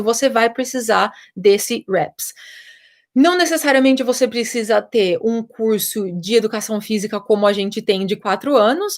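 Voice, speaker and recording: A young Brazilian woman, a personal trainer, chatting casually and explaining things to viewers, with lots of fillers. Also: on the high side. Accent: Brazilian Portuguese. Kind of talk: casual live chat answering viewer questions.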